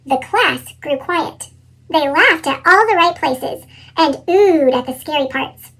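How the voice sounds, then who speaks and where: A woman reading a children's storybook aloud in an animated style, her voice sped up with a chipmunk effect. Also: takes it slowly. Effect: sped-up chipmunk pitch shift. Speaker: storybook reader.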